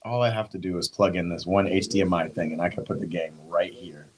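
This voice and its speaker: A man's voice: deep voice, fast talker. A young man casually chatting to viewers on a football watch party livestream, joking and laughing.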